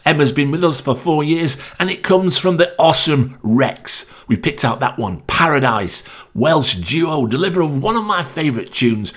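A man's voice: on the high side, animated, normal speed, fairly bright tone. A man hosting a new-music radio show, introducing songs in an upbeat, enthusiastic way. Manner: upbeat, enthusing over the picks. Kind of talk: radio DJ links between songs.

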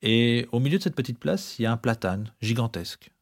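Medium-pitched man narrating a podcast. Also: lively intonation, brisk. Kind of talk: scripted explanatory narration.

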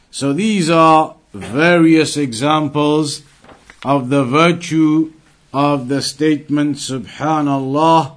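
High-pitched man teaching a religious lesson. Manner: recites Arabic quotations, then explains them in English.

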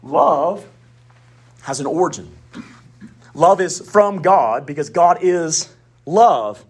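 A man preaching a sermon in a Southern US accent, with emphatic declarations, quiet asides and folksy humor. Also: fairly high pitch, expressive, takes it slowly, breathy edge. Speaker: pastor.

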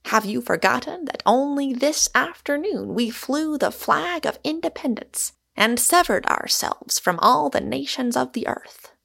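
Woman as a flamboyant, haughty idle gentleman, pitched up. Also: animated, a little slow, gravelly, full character voice. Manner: theatrical, leisurely, haughty. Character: flamboyant, whimsical, idle gentleman, mock-heroic and defiant. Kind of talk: audiobook character voice.

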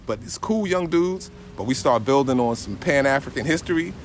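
Animated slangy man telling a story. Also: medium pitch, normal speed, slight background noise. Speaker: traveler in conversation. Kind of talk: casual anecdote telling.

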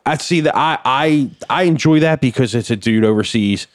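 Podcast cohost guy, swearing and cracking jokes, normal pitch, dry sound. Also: normal speed, dry recording. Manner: profane, joking banter. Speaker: podcast co-host. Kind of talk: casual chat. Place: house.

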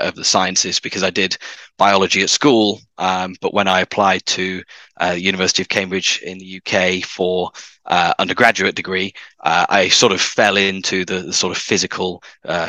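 A man's voice: sits low, sharp tone, no echo. A young man with a Manchester accent, a podcast guest talking hesitantly with many fillers and restarts. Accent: Mancunian. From north of England. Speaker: guest, materials scientist. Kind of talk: podcast interview.

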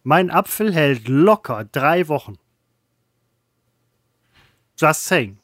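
A man with a somewhat higher voice muses wryly and drops deadpan quips in a comedic chat. The recording is dry and close, with no room sound. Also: slow pace, no room sound. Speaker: podcast co-host.

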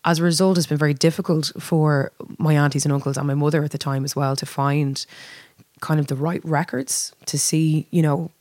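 A woman's voice: deep voice, fairly even intonation, brisk, darkish tone, dry recording. A woman with a north Dublin accent chatting candidly and reflectively in an interview, often repeating words as she finds her phrasing. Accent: north Dublin Irish. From Dublin, Ireland. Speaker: band singer being interviewed. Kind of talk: casual reflective interview chat.

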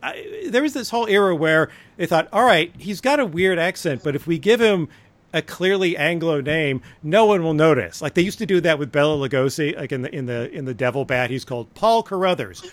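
A man's voice: on the high side, lively intonation, a little fast, no echo. A man co-hosting a podcast, giving opinions in a wry, dryly humorous casual chat. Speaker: podcast co-host.

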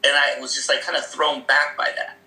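A man's voice: medium pitch, little pitch movement, brisk, pushed and bright, echoey room, low-quality recording. A man casually telling stories about growing up, rambling with many fillers and frequent laughs. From Seattle area. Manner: rambling, many fillers, laughs often, acts out quoted voices. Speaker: guest. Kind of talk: casual conversational storytelling.

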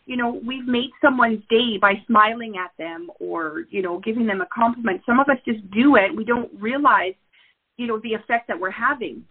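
A woman hosts a casual interview and agrees readily with her guest. Her voice sits slightly high and is husky. The sound is thin and phone-like. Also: moderate pace. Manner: warmly agreeable, affirming. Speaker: podcast host.

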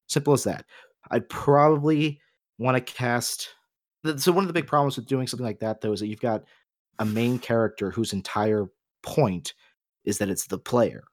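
A man's voice: mid-range voice, fairly even intonation, normal speed. A man co-hosting a casual, opinionated discussion, explaining his points at length in a rambling, emphatic way. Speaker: podcast co-host.

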